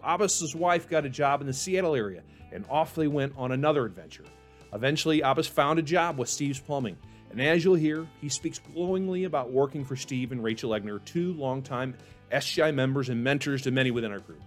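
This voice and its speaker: A male podcast host who reads a scripted introduction and then interviews a guest in an upbeat, encouraging way. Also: mid-range voice.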